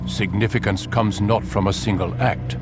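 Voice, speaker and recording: An actor playing a stern, authoritative older assassin master in a video game, formal and admonishing. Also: male voice, fairly low pitch, steady tone, normal speed, close to an everyday voice. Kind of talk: video game cutscene acting.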